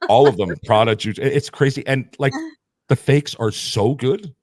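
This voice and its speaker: A man's voice: mid-range voice, normal speed, a little mellow, dry recording, studio quality. A young man from Canada, joking and laughing often while chatting on a video call. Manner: joking, laughs often, says "right?" a lot. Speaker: game night guest. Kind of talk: casual chat. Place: Thailand.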